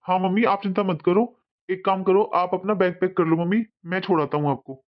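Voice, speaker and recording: A dull, lo-fi recording of a young adult son in a cartoon family drama voiced by a man. His voice is high for a man, and he sounds easygoing, practical and reassuring. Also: fairly even intonation, brisk, lightly acted. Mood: helpful. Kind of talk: animated family drama dialogue.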